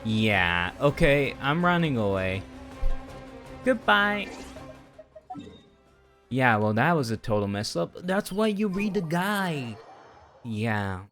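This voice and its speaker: A man streams a video game with clear music playing behind him. His voice sits at an average male pitch. He comments casually on his own play, talking and exclaiming to himself as he goes. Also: very animated, takes it slowly, pauses often, a bit bright. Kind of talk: casual live gameplay commentary.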